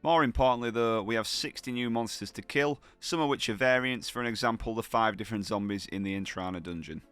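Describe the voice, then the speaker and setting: A man's voice: on the low side, a little fast, fairly bright tone, clean tone, no room sound, soft background music. A man with a heavy cold, talking through his gameplay in a chatty, upbeat way, full of slang. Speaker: gaming channel host. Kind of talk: gameplay commentary.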